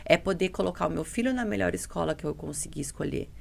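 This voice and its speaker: Female podcast guest. Casual and outspoken, slightly low register with heavy fry, repeats points for emphasis. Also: fast talker. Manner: blunt, emphatic, repeats herself, quotes dialogue. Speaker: podcast guest, dental mentoring business partner. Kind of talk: casual podcast conversation, animated storytelling.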